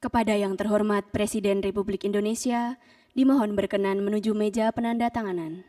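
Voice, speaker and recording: A woman's voice: medium pitch, steady tone, dark tone. Formal female ceremony announcer, heard from afar. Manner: solemn, measured and formal. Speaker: master of ceremonies at an official state ceremony. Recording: distant microphone in a ceremonial hall.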